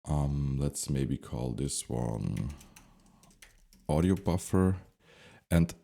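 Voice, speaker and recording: A man giving an unscripted software tutorial, thinking aloud step by step as he instructs. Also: a bass voice, gravelly.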